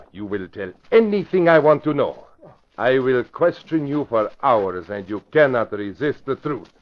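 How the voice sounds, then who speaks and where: A menacing foreign spy chief from a vintage radio play, in a low register that swings widely. He speaks in stilted, formal phrases, agitated and gloating. The old recording is muffled. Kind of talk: 1940s radio drama acting.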